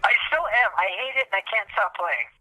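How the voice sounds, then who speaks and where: American male co-host, high-pitched and piercingly bright, casual comic ranter. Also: big pitch swings, brisk, dry recording, poor audio. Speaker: podcast co-host. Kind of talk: casual comedic ranting.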